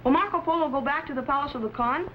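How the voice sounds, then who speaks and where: A man's voice: very high-pitched, racing, slight background noise. A young male actor playing an eager, curious boy assistant to a time traveller in an old adventure serial. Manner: earnest, boyish, obedient. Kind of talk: 1950s TV adventure serial acting. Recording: dull old broadcast recording, faint music.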